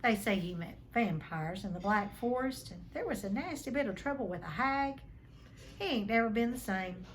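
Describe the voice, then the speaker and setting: Woman playing a big, hearty, rough-spoken man. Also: medium pitch, lively intonation, moderate pace, a little hiss or hum, lightly acted. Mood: chatty, sympathetic.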